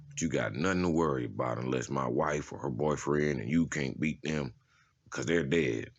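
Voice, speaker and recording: A man playing a blunt, intimidating convicted murderer with gruff, sardonic street talk in a comic drama. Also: very deep voice, muffled recording, exaggerated voice.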